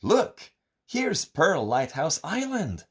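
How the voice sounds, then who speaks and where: A man doing an excitable little storybook friend. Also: on the high side, slow, husky, pitched above the performer's own voice, exaggerated voice. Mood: excited. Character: small cheerful friend, curious and eager.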